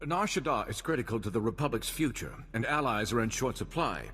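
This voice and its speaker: An actor playing an earnest, principled male diplomat with a measured, formal air in a video game cutscene. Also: medium pitch, animated, fairly bright tone, long reverb tail, a little hiss or hum, lightly acted. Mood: troubled, grateful.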